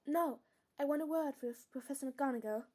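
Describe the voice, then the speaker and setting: A woman's voice: high-pitched, moderate pace, warm tone, natural voice. A woman acting an earnest, defiant, bookish teenage schoolgirl in an audio drama. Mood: brisk.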